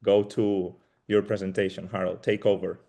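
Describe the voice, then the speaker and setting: Low-voiced man hosting a webinar. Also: level tone, vocal fry, mellow. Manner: halting, many repeats and fillers. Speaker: webinar host. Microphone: video call.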